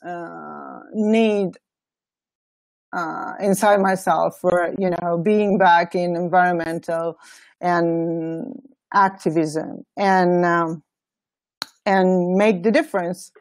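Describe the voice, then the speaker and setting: A woman's voice: lowish voice, fairly even intonation, takes it slowly, darkish tone, dry recording. A woman from Italy with an Italian accent, informally introducing herself on an online call, searching for words. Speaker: web and graphic designer on a nonprofit team.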